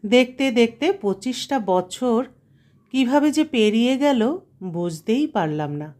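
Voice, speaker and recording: Woman w/ reading an emotional story, kinda high voice, expressive and sentimental. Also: slow pace. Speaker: story narrator. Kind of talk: scripted dramatic first-person story narration.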